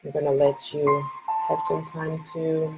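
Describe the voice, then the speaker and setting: A woman from Portugal with a Portuguese accent, hosting a live card reading. She speaks gently and reassuringly and checks in often with "okay?". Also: deep voice, monotone, a little slow, gravelly, loud music behind the voice, rough recording. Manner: soft, reassuring, often asks "okay?" and laughs. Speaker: tarot reader and live stream host.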